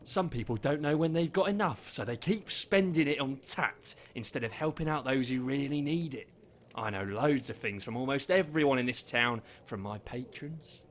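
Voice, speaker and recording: A man's voice: medium pitch, expressive, moderate pace, slight background noise, lightly acted. A man playing a chatty, gossiping barkeep who uses crude slang.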